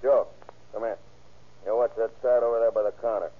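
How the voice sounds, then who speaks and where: A man's voice: on the low side, fairly even intonation, brisk, natural voice. An actor playing a cruel, gloating gangster with sneering, slangy tough-guy talk in an old-time radio crime drama. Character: gloating, cruel armed stick-up gangster, leader of the gang. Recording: muffled, narrow-band vintage radio recording.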